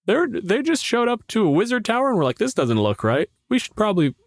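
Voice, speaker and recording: A man's voice: sits high, very animated, fast, no room sound, studio quality. A man speaking casually as a podcast co-host and game master, with a blunt, teasing, sweary manner.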